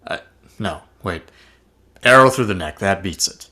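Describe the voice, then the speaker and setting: Casting: mild-mannered sitcom ghost man reading, low but forward, clear-edged tone, principled and squeamish. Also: expressive, unhurried, sharp tone, heavily acted. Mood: pained, fussy. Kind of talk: sitcom table read.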